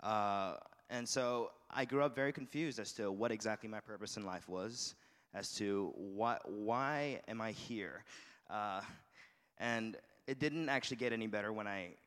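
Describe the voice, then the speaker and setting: A young man from Malaysia who grew up in Shanghai, a student giving an earnest, halting personal testimony to an audience with many restarts. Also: lowish voice, fairly bright tone. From Malaysia, raised in Shanghai. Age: young adult. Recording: long hall reverb.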